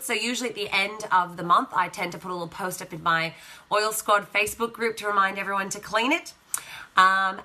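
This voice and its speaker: A woman with a croaky, partly lost voice, chatting casually as she hosts a live how-to demonstration and greets viewers. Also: lowish voice, sharp tone. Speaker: essential oil educator hosting a live video.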